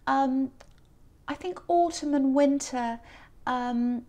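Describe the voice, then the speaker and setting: A tired woman with a croaky voice giving a chatty, gentle tarot reading, full of ums and soft hedges. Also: high voice, slow pace. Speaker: tarot reader. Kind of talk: casual tarot reading to camera.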